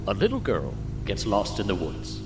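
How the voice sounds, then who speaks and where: An actor playing a folksy, cryptic rural man in an audio drama, telling tales in an unhurried, hushed manner. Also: lowish voice, wildly swooping pitch, reverberant, long reverb tail, over loud music. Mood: hushed, eerie.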